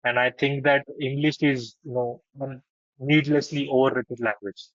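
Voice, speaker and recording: A man's voice: medium pitch, flat delivery, normal speed, no echo. Chatty man with a Marathi background. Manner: talkative, opinionated, joking. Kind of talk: casual chat on a video call. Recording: muffled dry video call audio.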